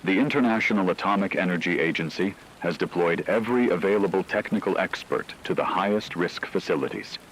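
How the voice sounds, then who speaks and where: A man playing a grave scientific expert, giving a measured, formal, technical briefing in a scripted radio drama about a disaster. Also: low voice, fairly bright tone, slight background noise, low-quality recording. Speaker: radio broadcast expert commentator.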